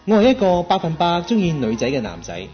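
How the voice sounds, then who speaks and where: A man's voice: fairly high pitch, wildly swooping pitch, fast. A man with a Hong Kong Cantonese accent playing a laid-back, girl-chasing young graduate introducing himself in a radio drama. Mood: self-satisfied. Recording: dull lo-fi audio with music.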